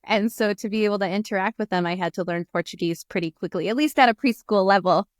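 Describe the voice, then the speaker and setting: Guest, American woman, 30s; smooth, clear, talkative, laughs a lot; earphone mic on a call, dry room. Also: medium pitch, quick pace, no echo. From United States. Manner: chatty, laughs often, many fillers. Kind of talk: casual interview chat. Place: small room indoors. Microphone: earphone headset on video call.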